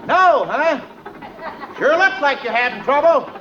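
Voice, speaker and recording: A high, deaf old country codger. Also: male voice, lively intonation, measured pace, dull audio, a crowd in the background. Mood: puzzled. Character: hard-of-hearing old country man. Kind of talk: 1950s radio sitcom acting. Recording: noisy old radio broadcast.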